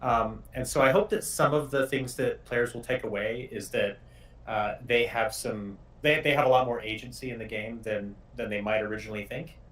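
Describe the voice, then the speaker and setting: A man's voice: mid-range voice, steady tone, normal speed, a bit bright, slight background noise. A male game developer talking casually in an interview. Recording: distant mic.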